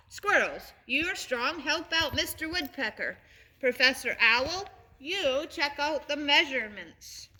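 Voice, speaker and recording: A woman's voice: sits high, pushed and bright, voice pushed up, exaggerated voice. A woman voicing a sensible female pigeon who takes charge and hands out orders to the other animals in a storybook. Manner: commanding, giving orders.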